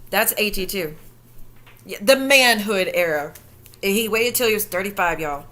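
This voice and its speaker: Bright-voiced sarcastic woman streamer. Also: mid-range voice, a little slow. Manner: sarcastic, profane, incredulous. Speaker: commentary streamer. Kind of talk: reaction commentary stream.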